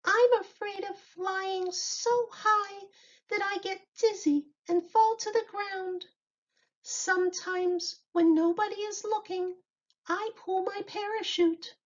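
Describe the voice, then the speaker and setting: Tiny squeaky storybook bird. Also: female voice, steady tone, slow pace. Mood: timid, helpful. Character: small chirpy bird afraid of flying high. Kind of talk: children's storybook read-aloud. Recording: dull lo-fi recording.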